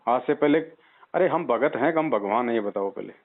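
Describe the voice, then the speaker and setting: A man's voice: mid-range voice, lively intonation, normal speed. A man counselling a caller in an insistent, preaching way, pressing points with rhetorical questions. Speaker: religious devotee counselling a caller. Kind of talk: persuasive phone counselling. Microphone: telephone. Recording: tinny band-limited phone call recording.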